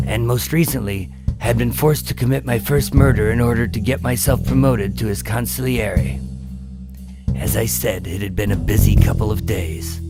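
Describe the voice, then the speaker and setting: Over prominent music comes a weary, hard-boiled undercover agent, played by a man. His voice is lowish and full of fry, in the manner of scripted radio drama.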